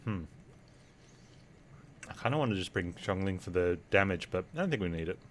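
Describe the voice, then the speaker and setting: A man's voice: deep voice, lively intonation, fairly quick, slight background noise. A man giving casual, chatty commentary as he streams a game. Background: game music.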